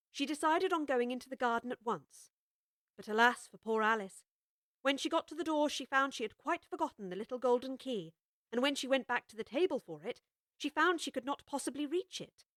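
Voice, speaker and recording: High-voiced woman narrating a storybook. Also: no echo, clean studio sound. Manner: measured, expressive storytelling. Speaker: audiobook narrator.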